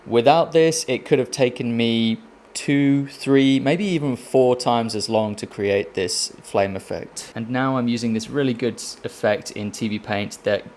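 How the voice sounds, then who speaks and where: A man hosting an animation channel, giving a measured, explanatory voice-over about his process. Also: medium pitch, a little mellow. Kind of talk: scripted video voice-over with process commentary. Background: music.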